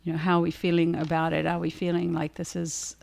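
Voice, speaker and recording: A woman co-hosting a livestream as an author, chatting casually and answering audience questions in a thoughtful, halting way. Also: lowish voice, completely flat delivery, gravelly, a little mellow.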